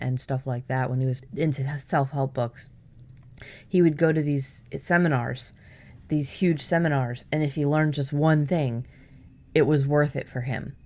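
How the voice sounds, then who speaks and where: Low voiced lady podcast host, chatty, explains stuff and encourages you. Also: dark tone. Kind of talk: casual conversational podcast advice.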